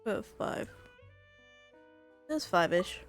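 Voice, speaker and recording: A woman giving casual gameplay commentary, halting as she thinks aloud. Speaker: game streamer. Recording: game music behind the voice.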